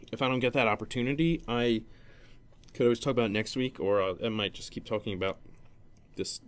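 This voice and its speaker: A young man hosting a solo gaming podcast, rambling casually with many fillers and restarts. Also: medium pitch. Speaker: podcast host.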